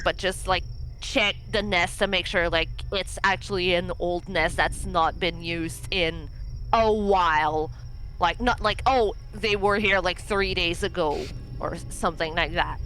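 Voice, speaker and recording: A woman's voice: on the low side, steady tone, measured pace, fairly bright tone, rough recording. A woman playing a tabletop game, explaining her moves casually and a bit tentatively.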